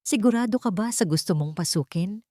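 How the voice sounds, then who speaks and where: A woman's voice: medium pitch, expressive, fairly quick, dark tone, dry recording, studio quality, lightly acted. A woman voicing a stern, unemotional female lawyer in her forties in a dramatic story, blunt and probing.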